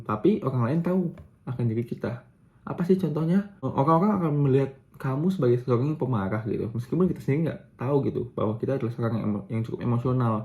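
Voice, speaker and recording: A young man giving a casual explanatory lesson, pausing with fillers and correcting himself as he goes. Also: medium pitch, darkish tone. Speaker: student presenter.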